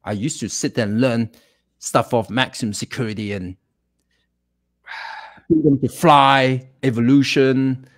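A man's voice: medium pitch, steady tone, measured pace, pauses often. A middle-aged man chatting as a guest over a video call, joking and chuckling. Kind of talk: casual video call chat.

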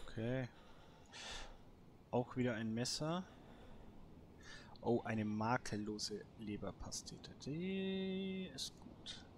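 A man's voice: medium pitch, expressive, slow, long pauses. A man giving casual, colloquial let's play commentary, talking half to himself and half to the game. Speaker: gamer and host of a let's play series. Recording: noisy home recording with clicks.

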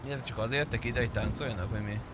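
A man's voice: medium pitch, steady tone. A man doing casual, slangy and sometimes crude live gameplay commentary as a streamer. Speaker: game streamer. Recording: clear background engine and game noise.

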